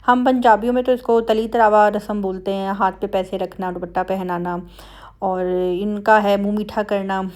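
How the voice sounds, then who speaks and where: A woman's voice: mid-range voice, fairly even intonation, moderate pace, a little mellow. A woman with a Pakistani Punjabi accent talking in a chatty, conversational way, as in a casual family vlog voice-over. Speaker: family vlogger.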